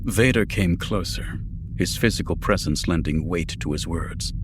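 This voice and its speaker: A man reading dramatic science fiction narration for an audiobook in a measured, serious, polished way. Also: sits low, background noise.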